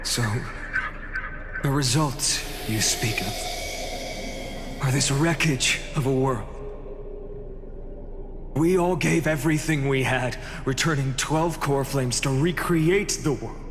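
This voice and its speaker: A man's voice: medium pitch, slow, pauses often, background noise, lightly acted. A man playing a grave, defiant young hero in a video game, speaking solemnly and with intensity. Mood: bitter. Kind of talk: dramatic video game voice acting. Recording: long reverb, music underneath.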